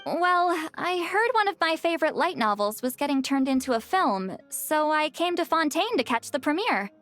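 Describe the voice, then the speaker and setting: A prickly, self-conscious game character in a high, very animated voice. She is huffy and dry, easily embarrassed, with music underneath. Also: moderate pace. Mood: dry, casual.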